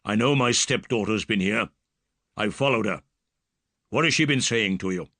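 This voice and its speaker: A man's voice: fairly low pitch, moderate pace, a bit bright, no room sound. A man playing a rude, menacing brute. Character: large, rude, threatening older man.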